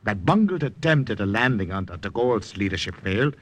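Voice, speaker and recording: An actor playing a menacing, jealous Nazi intelligence officer with intense, husky delivery in old radio drama style. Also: male voice, lowish voice, animated. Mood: pondering. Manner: intense, deliberate, swinging from hushed threat to outburst. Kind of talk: 1940s-50s radio drama acting.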